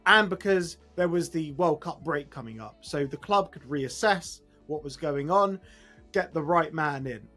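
A man in his thirties giving a blunt, emphatic, exasperated fan reaction to camera after a football match, with a husky voice. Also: fairly high pitch, expressive, measured pace, pushed and bright, faint music behind. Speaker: football fan channel host. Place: small room at home, indoors.

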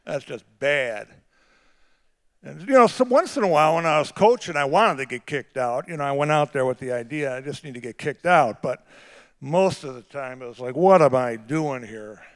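A middle-aged male pastor with a big voice, preaching a sermon that swings from quiet, conversational asides to shouted, drawn-out emphasis. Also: mid-range voice, expressive. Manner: drawn-out emphatic phrasing on key words.

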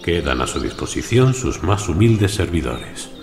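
An actor playing a formal, grave gentleman in an audio drama. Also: low-pitched, big pitch swings, measured pace, vocal fry, reverberant, over music, full character voice.

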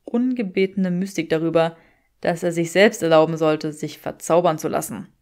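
A woman's voice: low voice, normal speed, darkish tone. A woman reading fiction aloud as an audiobook narrator.

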